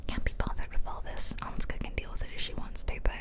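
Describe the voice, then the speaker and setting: A woman giving whispered, breathy game commentary in a playful, chatty ASMR style, talking to the characters as she plays. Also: fast talker. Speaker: host, gaming streamer.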